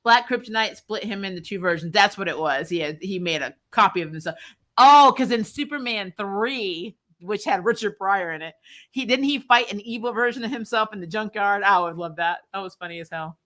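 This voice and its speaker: A woman hosting a casual fan livestream, talking in an animated, blunt, jokey way with some swearing. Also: medium pitch, brisk. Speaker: show host reviewing superhero TV and reading live comments. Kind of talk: casual livestream chat, rambling fan commentary.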